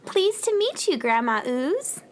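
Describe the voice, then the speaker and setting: A woman's voice: high-pitched, very animated, measured pace, slight background noise. A woman playing a curious, friendly and kind little space girl in a children's audio drama, polite and inquisitive. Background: loud music.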